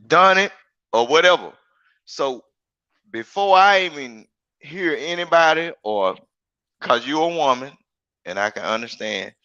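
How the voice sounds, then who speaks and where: A big-voiced man talks emphatically in a casual online chat. His tone is bright and forceful, the sound is dry and a little dull, and he circles back and repeats his points. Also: mid-range voice, no room sound. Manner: rambling, emphatic, repeats himself. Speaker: guest. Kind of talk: casual live-stream chat.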